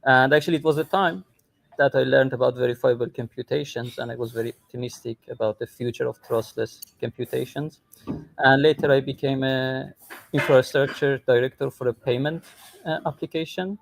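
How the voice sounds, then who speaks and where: A man from Iran talks as a startup guest on a remote call. His voice has a creaky edge and a mid-range pitch. He explains things conversationally, and the sound is dry. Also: steady tone, fairly quick, dry recording, rough recording. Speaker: tech co-founder and CTO, guest. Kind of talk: conversational self-introduction on a livestream.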